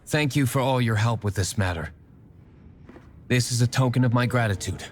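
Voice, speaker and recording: An actor playing an aloof, curt young gentleman in an anime-style game, dry, formal and restrained. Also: medium pitch, moderate pace, slight background noise, natural voice. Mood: composed. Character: aloof, curt young tavern owner, secret vigilante.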